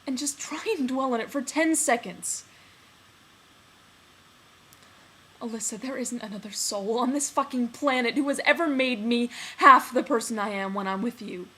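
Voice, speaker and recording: A woman performing a dramatic monologue as a lovesick man confessing love to a friend, earnest and halting, with emphatic swells. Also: mid-range voice, steady tone, slightly rough. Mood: desperate, insistent. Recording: noticeable background noise.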